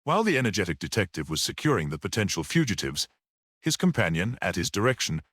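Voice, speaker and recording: A man's voice: deep voice, sing-song, fast, a bit bright, no room sound, clean studio sound. A man narrating a mystery story as a scripted, dramatic audiobook reading.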